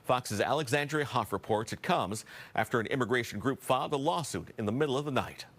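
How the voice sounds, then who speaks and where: A man with an American accent reading scripted broadcast news as an anchor, in a measured, formal way. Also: mid-range voice, expressive, a bit bright.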